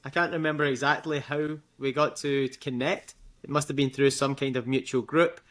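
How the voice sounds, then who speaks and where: A man's voice: medium pitch, fairly even intonation, brisk, a bit bright. A young man with a Scottish accent, a guest in a casual interview, talking in a chatty way with frequent laughs and fillers. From Scotland.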